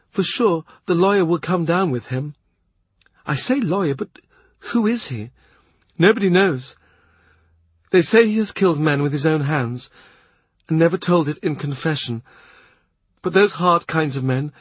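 A man's voice: fairly high pitch, lively intonation, measured pace, pauses often, husky, no echo, pitched above the performer's own voice. A man doing a gossiping young lute player. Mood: gossipy. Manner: chattering, gossipy.

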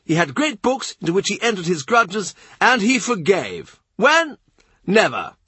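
A man voicing a panicky, lecturing older nobleman who speaks in excited, emphatic bursts. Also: high voice, a little slow, slightly rough, dry recording, pitched above the performer's own voice, heavily acted. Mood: agitated, hectoring. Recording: dry close recording.

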